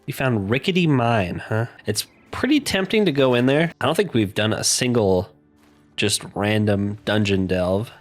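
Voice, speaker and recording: A man's voice: on the low side, animated, soft background music. Congested guy chatting over gameplay. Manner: easygoing, chatty, quick laughs. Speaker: game commentator. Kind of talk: casual let's-play commentary.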